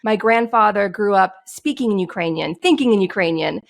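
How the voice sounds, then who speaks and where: A woman's voice: medium pitch, animated, brisk, vocal fry. A woman guest on a podcast, telling a story in a passionate, outspoken way. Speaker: guest, screenwriter. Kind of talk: interview answers.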